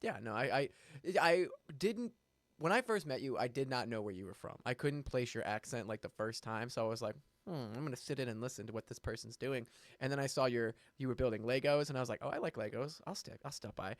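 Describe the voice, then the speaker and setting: A man hosting a casual interview, upbeat and quick to laugh. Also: medium pitch, expressive, no room sound. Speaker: host.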